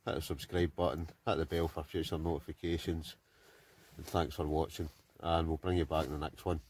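A man's voice: a bass voice, level tone, fairly quick, a little mellow, husky. A Scottish man in his forties, chatting casually to a phone camera held at arm's length, outdoors by a river and inside a tent, rambling with many fillers. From Scotland. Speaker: vlogger.

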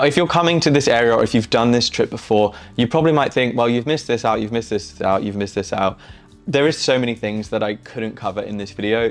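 Easygoing young man, low voice. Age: young adult. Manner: easygoing, enthusiastic, chatty with fillers. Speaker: travel vlogger. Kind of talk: casual travel recommendations to camera. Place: small living room, indoors.

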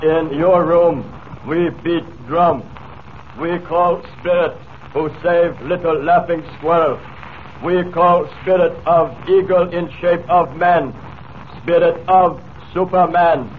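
Slow-spoken solemn tribesman, old radio. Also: on the high side, fairly even intonation. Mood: solemn, mystical. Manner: slow, halting, clipped broken English. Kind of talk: 1940s radio serial acting. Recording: tinny, noisy 1940s radio broadcast.

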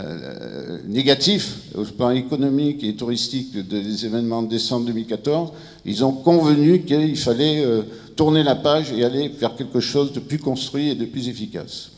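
A mature man addresses a conference in a formal, semi-improvised way. His voice sits at a medium pitch and he often stops to search for words. The handheld microphone carries long reverberation from the large room. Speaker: civil protection director presenting at a conference. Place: large indoor conference room with seated audience. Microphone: handheld microphone held near the mouth. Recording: reverberant hall sound over handheld microphone.

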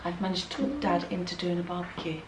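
A woman's voice: on the low side, flat delivery, fast. A woman in her thirties with an English accent, chatting casually and affectionately with her children as a family vlogger. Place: family home, rooms and garden. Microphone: camera microphone. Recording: noisy handheld home camera audio.

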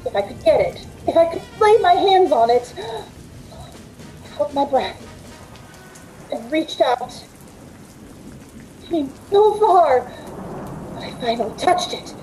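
A woman's voice: high-pitched, sing-song, slow, long pauses, dark tone, soft background music, exaggerated voice, poor audio. A middle-aged woman playing a frightened, desperate captive who tells her ordeal in old-time radio suspense style. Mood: tense, hushed. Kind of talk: old-time radio suspense acting, first-person narration. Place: indoors at home, on a video call.

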